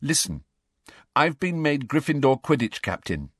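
A man voicing a brisk, businesslike teenage girl sports captain in an audiobook, direct in manner. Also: medium pitch, very animated, a bit bright, husky, no room sound, clean studio sound, pitched above the performer's own voice, heavily acted.

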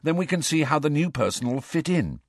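A brisk, businesslike teenage girl captain in a storybook , played by a male narrator. She speaks directly and with animation, in a raised pitch. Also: very animated, dry recording, clean studio sound.

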